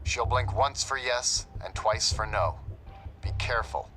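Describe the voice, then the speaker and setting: A man's voice: medium pitch, unhurried, pushed and bright, soft background music. A man playing a stern, businesslike commanding agent in a video game, giving orders in an authoritative, clipped, impatient manner. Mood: serious, instructing.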